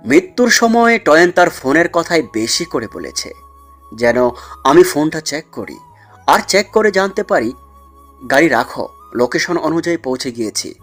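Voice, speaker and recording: Man acting a cunning smug mafia mastermind, animated delivery. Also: on the high side, measured pace, long pauses, soft background music, exaggerated voice. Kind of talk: dramatised audio story acting.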